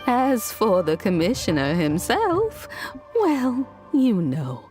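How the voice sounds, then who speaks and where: A woman's voice: medium pitch, big pitch swings, slow, darkish tone, faint music behind. A woman playing a kindly, genteel household retainer in a video game, speaking politely and without hurry. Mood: fond, polite.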